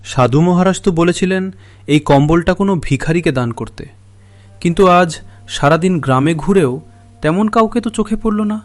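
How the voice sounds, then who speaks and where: A man's voice: sits high, moderate pace, natural voice. A man voicing a kindly, generous village merchant in a cartoon fairy tale, speaking in an earnest way. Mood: pondering.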